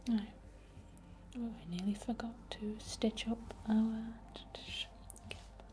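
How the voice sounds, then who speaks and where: Softly whispering woman, mellow ASMR voice. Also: fairly high pitch, steady tone. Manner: soft, breathy whispering, soothing and unhurried. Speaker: ASMR host leading a healing session. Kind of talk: whispered ASMR guided meditation.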